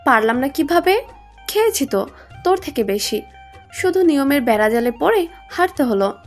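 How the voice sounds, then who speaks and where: A woman voicing a sharp-tongued, complaining young woman in an audio story, with a pointed, argumentative manner. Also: high voice, big pitch swings, moderate pace, faint music behind. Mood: teasing protest.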